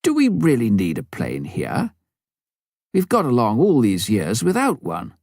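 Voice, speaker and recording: An old-time missionary, played by a male audiobook reader, questions things in a wry, skeptical way. He teases gently, and his pitch moves up and down freely in a dry, close recording. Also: huge pitch swings, measured pace, no echo, full character voice. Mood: wry, teasing. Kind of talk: audiobook character voice.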